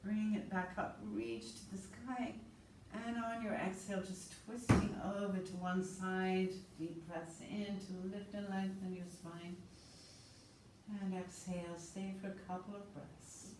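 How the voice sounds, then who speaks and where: A woman instructor guiding a yoga class with slow, soothing, calm cues. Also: mid-range voice. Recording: roomy, distant mic with faint noise.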